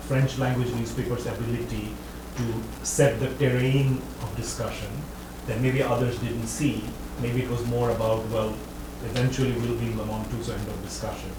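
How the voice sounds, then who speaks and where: A man's voice: medium pitch, fairly even intonation, moderate pace. A man, an author, answering an audience question at an academic talk, thinking aloud and often correcting himself. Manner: thoughtful, hedging, self-correcting. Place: lecture room. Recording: echoey room, distant mic, background noise.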